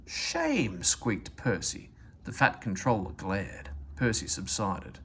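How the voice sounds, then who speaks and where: A man's voice: sits low, slow, bright, forceful tone. A man narrating a children's story aloud as a read-aloud reader, with faint noise in the recording.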